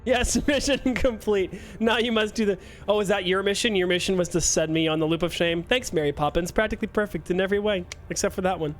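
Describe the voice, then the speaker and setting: High-voiced joking male game streamer. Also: quick pace, hardly any pauses, faint music behind. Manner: joking, self-mocking, chatting with viewers. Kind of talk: casual live-stream chat while playing.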